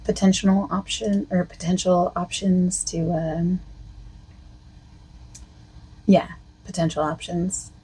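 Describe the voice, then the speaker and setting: A woman speaking softly and partly in whispers as a costume consultant in an ASMR roleplay, with a hesitant, filler-heavy way of talking. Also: level tone, slow, pauses often, husky, rough recording. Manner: soft-spoken, drifting between whisper and low voice. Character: female costume consultant asking the listener questions.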